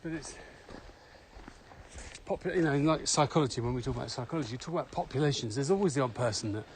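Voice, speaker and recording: A man's voice: mid-range voice, quick pace, darkish tone. Man musing on a noisy outdoor walk. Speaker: podcast co-host. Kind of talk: casual reflective chat. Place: outdoors, walking through a pine grove in Morocco. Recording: noisy outdoor walking recording.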